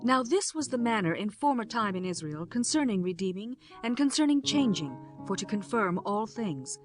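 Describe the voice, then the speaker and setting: A woman narrating a scripture audiobook in a measured, formal way. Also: medium pitch, big pitch swings, soft background music.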